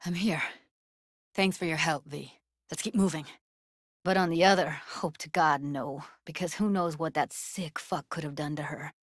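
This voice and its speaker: Tough young woman techie, American accent, low voice, blunt slangy delivery, guarded but loyal, close dry game recording. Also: fairly even intonation, moderate pace, no room sound. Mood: worried, tender. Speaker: video game character voice. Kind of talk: naturalistic video game dialogue.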